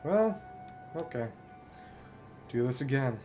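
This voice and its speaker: A man giving casual solo commentary as a game streamer, musing aloud in short, halting remarks. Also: medium pitch, animated, warm tone, soft background music.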